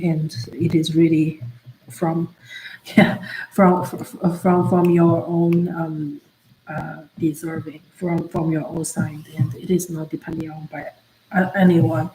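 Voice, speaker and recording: A woman whose first language is Chinese, chatting on a study livestream in a halting way, with many fillers and restarts. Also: deep voice, dark tone. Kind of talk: casual study-stream chat. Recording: echoey room with faint ambient noise.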